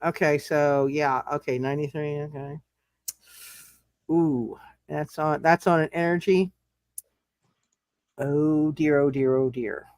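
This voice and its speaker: A game master with a mid-range voice narrates a tabletop roleplay session. The delivery is halting, circling back over words and repeating them while working out rulings. The sound is close and dry. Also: mid-range voice, steady tone, a little mellow, no echo.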